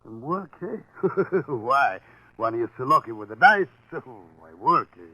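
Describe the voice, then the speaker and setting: A man playing an obliging, nervous immigrant pool-room owner who speaks husky broken English in a muffled 1940s radio crime drama. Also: mid-range voice, big pitch swings, unhurried, sharp tone, no echo, heavily acted. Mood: eager, nervous. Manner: broken English, fawning, hesitant chuckling.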